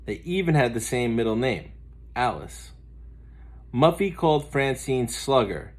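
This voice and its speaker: A man reads a children's picture book aloud like a teacher to a class. His mid-pitched voice moves up and down in an animated, expressive storytelling style. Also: unhurried.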